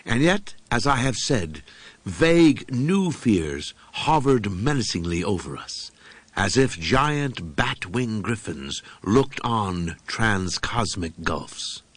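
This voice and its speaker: Low-pitched man reading a horror audiobook. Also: sing-song, slow pace, no echo. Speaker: audiobook reader. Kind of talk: scripted narration of a horror story.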